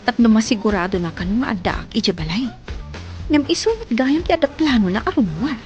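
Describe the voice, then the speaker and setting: A woman's voice: a little high, big pitch swings, a little fast, a little mellow, highs cut off. Young Ilocano radio drama girlfriend, music behind. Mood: hurt, disappointed. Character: Megan, hardworking young woman, hurt by deceiving boyfriend.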